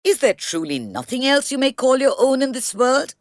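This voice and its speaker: A woman voicing a commanding, kindly and important man in a children's storybook, speaking in a measured, authoritative way. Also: high-pitched, big pitch swings, no room sound, clean studio sound, pitched above the performer's own voice. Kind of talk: children's storybook read-aloud.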